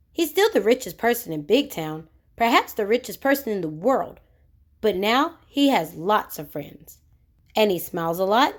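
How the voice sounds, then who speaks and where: A woman narrating a children's storybook expressively, putting questions to young listeners. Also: on the high side. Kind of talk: animated read-aloud narration of a children's storybook.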